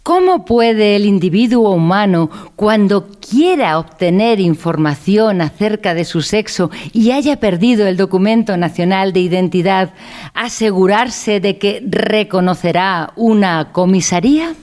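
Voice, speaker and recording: A woman acting a lively, deadpan instructor. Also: medium pitch, measured pace, close to an everyday voice. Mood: deadpan, pedantic. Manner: didactic, deadpan, formal. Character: earnest female instructor of an absurd mock educational course. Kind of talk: scripted satirical radio drama narration.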